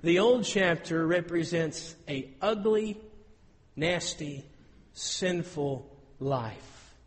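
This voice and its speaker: A man in his thirties preaching a sermon in an auditorium, telling stories with lively, rising emphasis. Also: a little high, very slow, long reverb tail. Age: 30s. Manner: storytelling, animated with rising emphasis.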